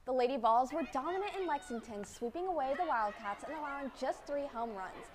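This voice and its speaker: A young woman reading sports news as a student anchor, with a crisp, upbeat delivery. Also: high voice, expressive, brisk, background noise. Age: young adult. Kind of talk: read news script.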